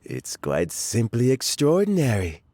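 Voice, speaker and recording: Very animated, savoring food judge. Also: male voice, mid-range voice, measured pace, no room sound, heavily acted. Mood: impressed, savoring. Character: enthusiastic male food judge, savoring and appreciative. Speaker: cooking contest judge. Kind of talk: video game voice acting, food critique.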